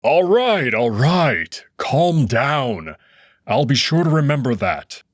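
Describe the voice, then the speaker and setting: A man's voice: medium pitch, sing-song, slow, dry recording, clean studio sound. A man voicing a young male wolf emperor who tries to stay composed and talks defensively when scolded. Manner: placating, defensive.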